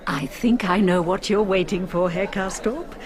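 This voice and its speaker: A woman's voice: mid-range voice, moderate pace, husky, natural voice. An actress playing an inquisitive, prim and disbelieving lady in a radio drama. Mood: curious, knowing.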